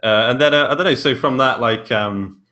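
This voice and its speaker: A man's voice: medium pitch, moderate pace, bright, forceful tone. A British man chatting casually as a podcast guest, informal and self-deprecating. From England. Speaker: podcast guest, indie author and filmmaker. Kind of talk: casual chat.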